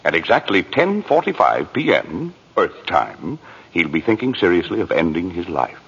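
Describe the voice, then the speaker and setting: Deep, echoing heavenly superintendent. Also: male voice, sing-song, gravelly, a bit bright, slightly rough, heavily acted. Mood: grave. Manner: authoritative, measured. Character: commanding male superintendent of angels, briskly benevolent. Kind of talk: 1940s radio acting. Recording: reverberant, muffled vintage radio recording.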